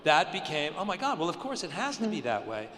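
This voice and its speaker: Excitable man with a bright, echoing voice. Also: a little high, a little fast. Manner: excitable, repeats phrases. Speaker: writer. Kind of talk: panel discussion. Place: large convention hall stage. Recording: echoey hall, faint hum.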